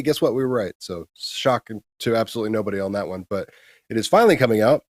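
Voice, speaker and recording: Man, low dry voice, casual co-host, opinionated, colloquial, gaming news chat. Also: no echo.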